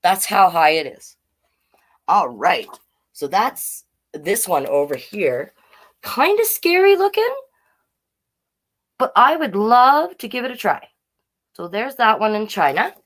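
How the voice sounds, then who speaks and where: A woman with a voice pitched a little low hosts a storytime livestream for children. She chats casually with viewers and reads facts aloud. Her delivery wanders, with asides and self-corrections along the way. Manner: chatty, easily sidetracked, self-correcting.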